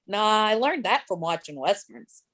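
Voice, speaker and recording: A woman playing a remorseful, earnest shark in a comic musical table read, halting through therapy-style apologies. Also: mid-range voice, lively intonation, a bit bright. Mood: earnest, contrite.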